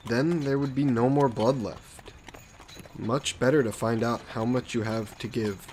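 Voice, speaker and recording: A man acts out a lordly male vampire with a mid-pitched voice, addressing a listener in a fantasy audio drama. He speaks very slowly, with suave condescension and soft, possessive coaxing. Background noise runs behind the voice. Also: fairly even intonation, lightly acted. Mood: coolly amused. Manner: unhurried, smug, genteel, softly coaxing. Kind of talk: scripted roleplay audio drama monologue.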